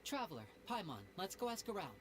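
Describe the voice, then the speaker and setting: An actor voicing a charming young male stage magician in a video game, smooth, teasing and confident. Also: medium pitch, sing-song, fast talker, noisy background, close to an everyday voice. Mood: playful. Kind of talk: game voice acting.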